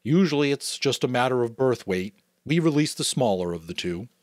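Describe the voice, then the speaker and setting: A man voicing a calm father who speaks in a matter-of-fact way. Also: medium pitch, fairly quick, no echo, studio quality, natural voice.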